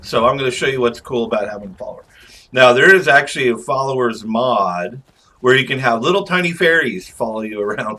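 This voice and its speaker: Bright, forceful-voiced man reviewing a game. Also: mid-range voice. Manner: rambling, informal, chatty asides. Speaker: game reviewer and channel host. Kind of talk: casual gameplay commentary.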